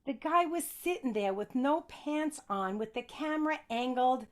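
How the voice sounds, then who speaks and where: A woman's voice: high-pitched, lively intonation, normal speed. An actress playing a blunt, protective niece who chaperones her aunt in a stage comedy, speaking in a bossy way. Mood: exasperated, bossy.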